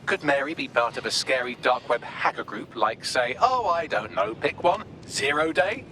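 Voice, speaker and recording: Droll British AI assistant. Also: male voice, mid-range voice, animated, normal speed, pushed and bright, a little hiss or hum. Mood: wry. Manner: droll, wry, theatrical. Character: sardonic, chatty male AI assistant. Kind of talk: video game voice acting.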